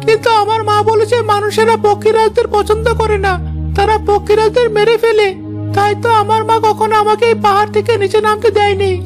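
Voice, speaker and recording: Cartoon flying foal: naive, plaintive, timid child voice, extremely high, over prominent music. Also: female voice, flat delivery, a little fast. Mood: timid, hurt. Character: small, naive, fearful young flying horse foal. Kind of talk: Bengali fairy-tale cartoon voice acting.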